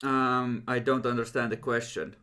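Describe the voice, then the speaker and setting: A man's voice: mid-range voice, flat delivery, moderate pace, sharp tone. A man talking casually and wryly as a player building his character for a tabletop role-playing game, swearing now and then.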